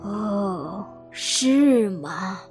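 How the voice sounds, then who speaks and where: A woman's voice: mid-range voice, lively intonation, extremely slow, over music. An actress playing a polite, guarded elderly lady in an audio drama, asking careful, hesitant questions. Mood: cautious.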